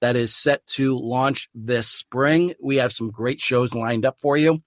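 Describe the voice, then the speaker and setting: A man's voice: mid-range voice, measured pace, no room sound, clean studio sound. A man hosting his podcast, talking earnestly and rambling a bit, with restarts and easy laughter. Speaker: podcast host. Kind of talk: casual podcast chat.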